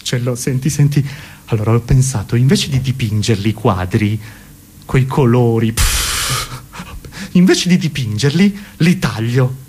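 A man plays an excitable, self-satisfied creative in a cabaret sketch. He urgently shares his absurd brainwave in halting, conspiratorial bursts, with pitch that moves all over. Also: slightly rough. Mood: excited, self-satisfied. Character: excitable male novelist or artist pitching his absurd idea.

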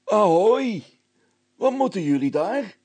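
A man voicing a suspicious, grumbling river skipper in an audiobook story, calling out loudly. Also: sits high, very animated, slow, breathy edge, no echo, voice pushed up, exaggerated voice. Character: suspicious, gruff Dutch river barge skipper, shouting.

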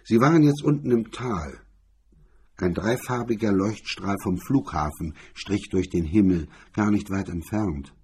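A man's voice: lowish voice, a little slow, darkish tone, slightly rough. A man narrating a scripted crime story as its reader.

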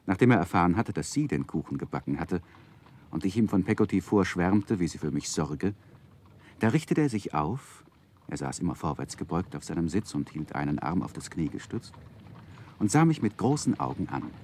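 A man's voice: low-pitched, sing-song, normal speed, a little mellow. An actor playing a grown man recalling his boyhood, narrating a 1960s German radio drama in a literary, reflective manner.

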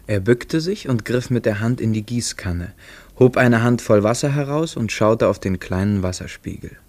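A man's voice: on the low side, animated, normal speed, a little mellow. A man as a composed scene-setting narrator in a radio play, speaking in a plain, descriptive manner.

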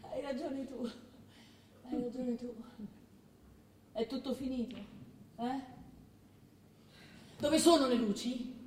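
A woman's voice: high-pitched, fairly even intonation, takes it slowly, pauses often, darkish tone. An actress playing the bitter, weary wife of a failing king, emphatic and repetitive in a theatrical drama style. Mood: despairing. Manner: emphatic, repeating phrases. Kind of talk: theatrical stage-style radio drama acting. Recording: distant, echoey stage recording with hiss.

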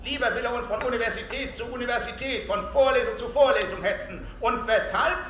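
High-pitched, bombastic political demagogue. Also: male voice, fairly quick, sharp tone. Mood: scornful, rhetorical. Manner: pompous, theatrical, rhetorical. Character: bombastic British opposition leader, sly populist demagogue. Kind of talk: political oration in a German radio play. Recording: echoey hall sound with slight noise.

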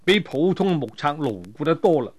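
A man voicing a watchful, resolute martial-arts hero in a told adventure story, speaking in a measured, advising way. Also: fairly high pitch, close to an everyday voice. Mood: resolute, hushed.

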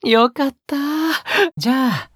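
A male actor playing a possessive young captor in an intimate audio drama, coaxing softly and often slipping into a whisper. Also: medium pitch, animated, normal speed. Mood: coaxing sweetness. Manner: soft, coaxing, often dropping to a breathy whisper. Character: possessive, sickly-sweet young male captor doting on a pet-like girl. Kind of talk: intimate audio drama acting, spoken to the listener.

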